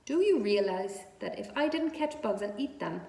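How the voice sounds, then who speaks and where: A woman's voice: mid-range voice, animated, normal speed, gravelly, dark tone, distant mic. Storybook spider, female, acted by a woman: genteel, frank, matter-of-fact, thin pleasant tone, echoing room. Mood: frank, firm. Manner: matter-of-fact, precise, unapologetic.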